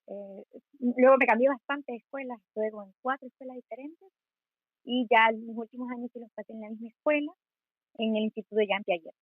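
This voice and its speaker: Brief: Honduran woman, twenties, activist guest, higher voice, thin phone-line sound, dry. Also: brisk, breathy edge, dry recording. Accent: Honduran Spanish. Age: 20s. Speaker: interview guest, student activist. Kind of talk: earnest interview answers. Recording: tinny phone-like call audio.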